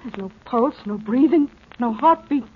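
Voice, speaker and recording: A frightened young woman, high and tinny. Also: moderate pace, slightly rough. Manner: breathless, fearful. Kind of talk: 1950s radio drama acting. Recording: thin, tinny old radio recording.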